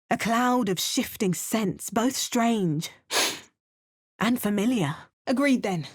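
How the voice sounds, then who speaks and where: A young woman voicing a game character with wry, measured first-person narration. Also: on the high side, expressive, slightly rough.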